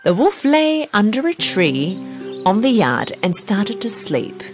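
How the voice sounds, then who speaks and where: A woman narrating a children's fairy tale in an expressive, dramatic storytelling manner. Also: mid-range voice, slow, music in the background.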